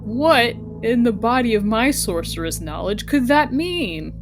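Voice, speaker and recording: A woman's voice: on the high side, expressive, a little slow. A woman playing a tabletop role-playing game, chatting in and out of character in a wry, wordy way. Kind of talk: casual in-character tabletop chat. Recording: clear music behind the voice. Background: background music.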